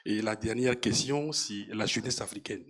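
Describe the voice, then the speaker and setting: A man asking a measured, formal question from the audience at a conference. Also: fairly low pitch, a bit bright.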